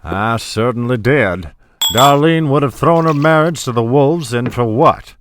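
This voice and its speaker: An actor playing a scheming, ageing oil tycoon and patriarch in an audio drama, commanding and patronizing. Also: male voice, mid-range voice, expressive, unhurried, background noise, close to an everyday voice. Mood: defensive, scheming.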